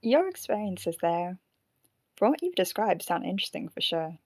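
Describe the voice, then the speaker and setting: A woman voicing a curious, dryly teasing haunted female marionette in an audio drama, with measured delivery. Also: fairly low pitch, very animated, a little mellow, no echo, studio quality.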